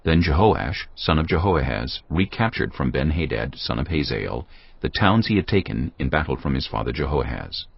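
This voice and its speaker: A man narrating scripture in a solemn, measured way over music. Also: extremely low pitch, big pitch swings, gravelly, husky, no room sound, highs cut off.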